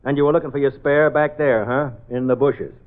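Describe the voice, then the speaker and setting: Casting: actor as a gruff, suspicious cop in an old radio drama, mid-pitched, tinny sound. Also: male voice, steady tone, fairly quick, natural voice. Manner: gruff, sarcastic. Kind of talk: 1940s radio acting.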